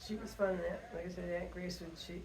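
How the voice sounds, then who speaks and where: An older woman, a grandmother, casually telling family stories in a rambling, folksy way with halting phrasing. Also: lowish voice, fairly even intonation, a crowd in the background. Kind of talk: casual storytelling reminiscence. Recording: roomy echo with faint background noise.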